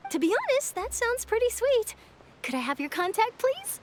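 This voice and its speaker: A woman playing an eager, polite young female reporter with a chatty, expressive manner in an anime-style video game. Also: extremely high pitch, moderate pace, slight background noise, exaggerated voice. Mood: curious, friendly. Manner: bright-spirited, chatty, expressive.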